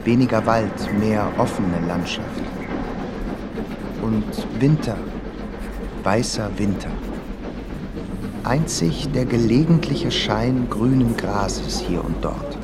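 A man's voice: fairly low pitch, expressive, slow pace, gravelly, pauses often, heavy background noise, heavily acted. A man playing an observant traveller, reading diary entries as narration in a radio play. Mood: reflective.

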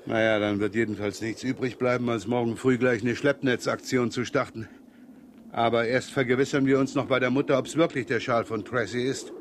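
Casting: gruff, dogged middle-aged inspector male actor, dry sardonic mutter, average pitch, seventies radio crime drama. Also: steady tone, brisk, close to an everyday voice. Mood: serious, resolute. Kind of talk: 1970s German radio crime drama acting. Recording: 1970s radio drama with background noise.

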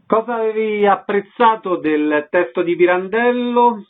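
A man's voice: high-pitched, unhurried. A man hosting a radio interview, putting questions and announcing details to listeners. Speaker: radio host. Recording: thin phone-like radio sound.

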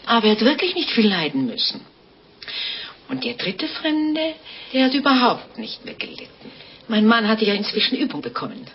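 An actress in a 1950s German radio drama playing a French innkeeper's wife who confesses murders in a calm, guileless, chatty way. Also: female voice, fairly high pitch, normal speed. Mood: matter-of-fact, reminiscing. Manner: matter-of-fact, guileless, chatty. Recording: dull, noisy old radio recording.

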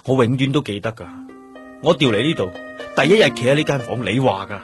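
A man's voice: medium pitch, lively intonation, fast, soft background music, close to an everyday voice. An actor playing a dedicated, tired male police detective in a radio drama, with an earnest Hong Kong Cantonese delivery.

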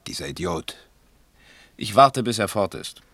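An actor playing a proud, touchy young clerk with a curt, stiff manner in a 1960s radio play. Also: male voice, lowish voice, very animated, measured pace, fairly bright tone, no room sound, full character voice. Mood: irritated. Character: prickly, proud young clerk living beyond his means.

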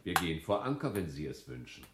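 An insistent, theatrical wooer in a radio drama. His pitch leaps about enormously, in an echoey room with clear noise. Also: very animated, quick pace. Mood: gallant. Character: insistent, theatrical man, pleading and courting a woman. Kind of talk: radio play dialogue.

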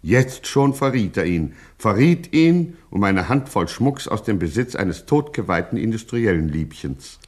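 A man's voice: fairly low pitch, big pitch swings, unhurried, hardly any pauses, darkish tone, husky. A man narrating a scripted radio satire in a dramatic, solemnly pompous, mock-heroic manner.

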